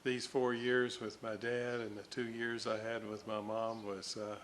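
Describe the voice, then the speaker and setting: Slow-talking older man, noisy recording. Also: on the low side, flat delivery, fairly bright tone. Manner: halting, folksy, rambling. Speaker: radio restoration hobbyist and host. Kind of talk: casual workbench narration.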